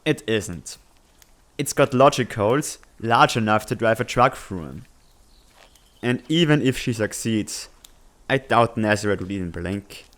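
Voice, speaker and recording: A man playing an earnest, responsible, tech-savvy teenage student in a comic fan dub, speaking in a measured, slightly formal, exasperated way. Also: on the low side, lively intonation, fairly bright tone. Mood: reasoning, defensive.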